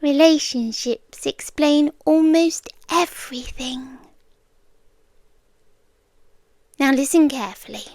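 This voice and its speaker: A British woman acting in an ASMR roleplay as an obsessive, calmly possessive girlfriend, speaking intimately and often slipping into whispers. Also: high voice, measured pace, no room sound, clean studio sound. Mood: calm, controlling. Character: obsessive, soft-spoken yandere girlfriend, calmly possessive young woman captor.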